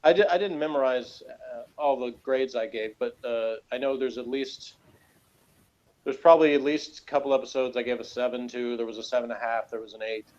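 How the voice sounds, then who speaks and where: A man chats casually as co-host of a review show. He rambles through his opinions with a sardonic edge. His mid-pitched voice comes through a muffled, low-fidelity connection. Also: steady tone, fairly quick, a little mellow.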